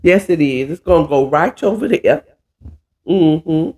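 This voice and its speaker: A hoarse, stuffy-nosed man hosting a casual chat while cooking, drawling and calling his listeners "child". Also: fairly high pitch, expressive, measured pace, darkish tone, slight background noise. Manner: chatty, drawling, says "child" a lot. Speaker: podcast host.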